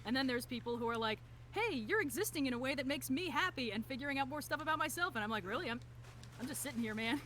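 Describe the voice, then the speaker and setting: A woman's voice: high-pitched, animated, quick pace, fairly bright tone, a little hiss or hum. A woman streaming a game, talking in a casual, earnest and reflective way.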